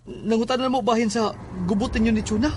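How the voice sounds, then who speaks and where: A man's voice: extremely high pitch, fairly even intonation, fast talker, darkish tone, slight background noise. A man playing an insistent teenage schoolboy in a horror audio drama, pressing with questions. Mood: curious, pressing. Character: insistent male classmate, persistent teenage schoolboy.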